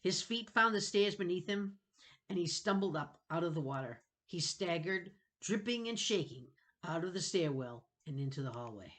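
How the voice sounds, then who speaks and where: A woman's voice: fairly low pitch, animated, normal speed, fairly bright tone. A woman narrating a children's novel dramatically, reading with expression and suspense. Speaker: storybook reader.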